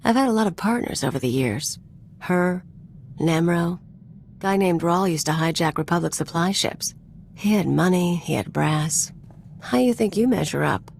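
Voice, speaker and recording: A woman's voice: on the low side, lively intonation, moderate pace, vocal fry, a little mellow, slight background noise. An actress voicing a cynical, streetwise mercenary woman in a video game, flippant and taunting in clipped, slangy phrases. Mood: sly, flippant. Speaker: companion character in a video game. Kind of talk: video game voice acting, casual dialogue. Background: music.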